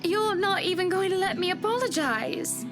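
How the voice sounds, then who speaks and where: A woman's voice: very high voice, lively intonation, moderate pace. A woman playing a wealthy, flirtatious lady with coy, formal phrasing in a visual novel game. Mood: apologetic. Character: flirtatious, wealthy, polished woman, wounded when refused. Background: game music.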